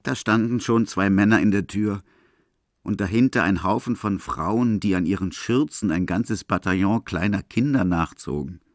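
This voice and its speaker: Male narrator for literary audio drama, lower register, dry close studio sound. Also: normal speed, dry recording. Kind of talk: scripted literary narration for a radio play.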